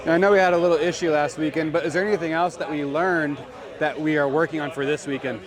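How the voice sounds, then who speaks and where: A man interviews riders at an outdoor race track in an upbeat, friendly way. His voice is a touch high. A loud crowd fills the space behind him. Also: steady tone, fast talker. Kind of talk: press day interview.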